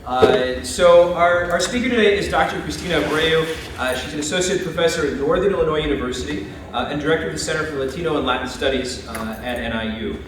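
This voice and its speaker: A man's voice: a little high, quick pace, hardly any pauses. A man hosting an event and reading a formal introduction of the guest speaker, with many "uh"s. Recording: echoey room, distant mic, faint noise.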